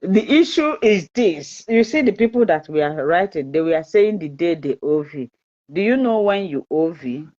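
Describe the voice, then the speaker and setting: A woman's voice: lowish voice, expressive, normal speed, warm tone. A Nigerian woman whose first language is Igbo, hosting a live-stream fertility talk in a chatty, repetitive way and slipping into pidgin. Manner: chatty, repeats herself, mixes in pidgin, laughs often. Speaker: fertility channel host. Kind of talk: live-stream advice talk, reading viewer comments. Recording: dull lo-fi live-stream audio.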